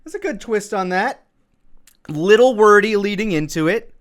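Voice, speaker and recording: A man's voice: sits high, lively intonation, moderate pace. A man giving casual, self-critical commentary on his own video, thinking aloud as he goes.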